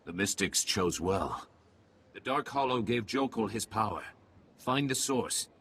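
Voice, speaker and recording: A man voicing a grave, calm alien mystic in a video game, giving terse, ominous pronouncements in a husky, creaky voice. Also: lowish voice, measured pace, slight background noise, full character voice. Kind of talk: video game cutscene acting.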